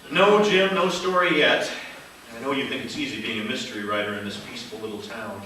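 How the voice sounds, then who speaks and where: A man playing a wry mystery writer, narrating a noir parody deadpan in live radio theater. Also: mid-range voice, a little fast, lightly acted. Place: theater stage, live performance. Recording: echoey theater, distant, faint noise.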